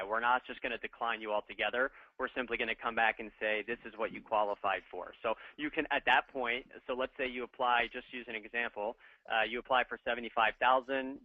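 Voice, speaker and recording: A man's voice: medium pitch, dry recording. Man explaining financing over a tinny line. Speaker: equipment financing representative. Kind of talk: webinar explanation.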